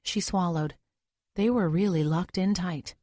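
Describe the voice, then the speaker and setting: A woman's voice: lowish voice, unhurried, warm tone, no room sound, studio quality. A woman reading scripted thriller narration as an audiobook narrator.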